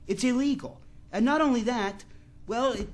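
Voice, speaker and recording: A man's voice: extremely high pitch, normal speed. A man playing an earnest, devout employee in a science fiction radio drama, plain-spoken, hesitant and moralizing. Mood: earnest, indignant.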